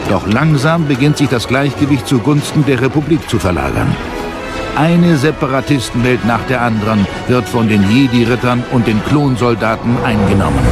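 A man narrating a space war audio drama in a solemn, measured, dramatic way. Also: mid-range voice, natural voice. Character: grave storyteller of a space war saga.

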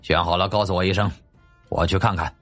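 A man's voice: sits low, fairly even intonation, quick pace, a bit bright, faint music behind. A man voicing an authoritative male government official in an audiobook, commanding and inquisitive. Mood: questioning. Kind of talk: audiobook character acting.

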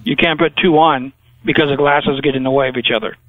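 A man's voice: a little high, fairly even intonation, fairly quick, dry recording. A man on a phone call, answering in a terse, patient, matter-of-fact way. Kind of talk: phone conversation. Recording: muffled, dry phone line.

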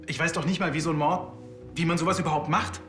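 An actor playing an indignant, nervous farmer defending himself under questioning in a TV crime drama. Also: male voice, on the high side, fairly even intonation, fast, fairly bright tone, faint music behind, natural voice. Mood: defensive. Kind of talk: naturalistic TV drama dialogue.

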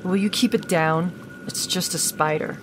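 Woman playing a low, cold, scornful survivor. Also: normal speed, background noise, natural voice. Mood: cold, dismissive.

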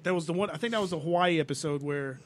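Man, podcast co-host, quick rambling talker, light ambient noise. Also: a little high. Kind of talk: casual chat.

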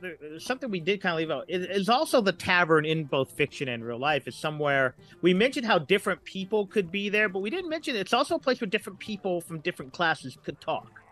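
A man's voice: high-pitched, very animated, quick pace, hardly any pauses, a bit bright. A middle-aged man talking casually as a writer guest on a podcast.

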